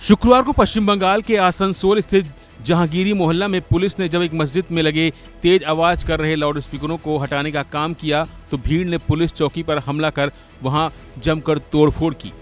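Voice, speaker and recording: A man's voice: on the high side, fairly even intonation, normal speed. A man reading a scripted news voice-over as the narrator of a report. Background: music bed.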